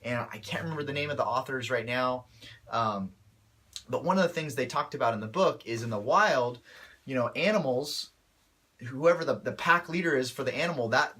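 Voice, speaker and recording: A man speaks with a bright, slightly tense voice that a cold has left a little off. He coaches in a relaxed, upbeat way and keeps turning to his listeners with quick check-ins. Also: medium pitch, husky. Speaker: martial arts instructor. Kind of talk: casual instructional talk to camera.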